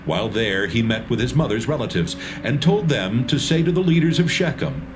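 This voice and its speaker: A man narrating a dramatised Bible reading in a steady, measured storytelling manner. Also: mid-range voice, background noise. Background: music score.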